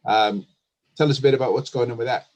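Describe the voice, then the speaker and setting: A man's voice: mid-range voice, steady tone, quick pace, darkish tone. A man hosting an online talk show, welcoming guests in a friendly, hesitant way with frequent fillers. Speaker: host. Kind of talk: casual online panel interview.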